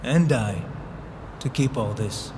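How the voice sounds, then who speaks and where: A man's voice: mid-range voice, expressive, takes it slowly. An actor playing a proud, ageing English nobleman with a grievance, speaking Shakespearean verse with impassioned declamation. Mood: grave. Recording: faint background noise.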